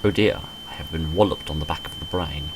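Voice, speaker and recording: A man's voice: very deep voice, fairly quick, noisy background. A man reading visual novel text aloud dramatically, with dry, understated wry commentary in between. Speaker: gamer recording a playthrough.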